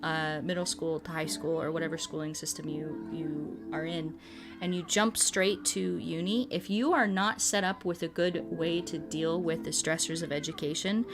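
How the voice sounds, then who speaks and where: Young woman, lowish pitch, casual livestream host, musing and conversational, music in the background. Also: moderate pace. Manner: conversational, musing, answers viewer comments. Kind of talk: casual livestream chat with viewers.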